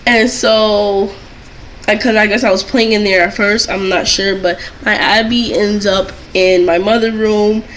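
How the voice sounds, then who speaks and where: A woman's voice: medium pitch, steady tone, measured pace, a bit bright, poor audio. Young woman doing a chatty storytime, rambles and repeats herself, bit of noise behind her. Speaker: doll collector vlogger telling a story.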